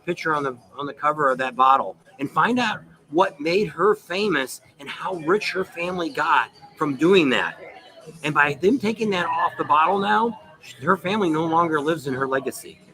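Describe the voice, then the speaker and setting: A man's voice: a little high, lively intonation, moderate pace, bright, forceful tone, poor audio. A man hosting a live show, rambling in casual, opinionated chat and repeating himself as he goes. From United States, near Saint Louis. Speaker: show host.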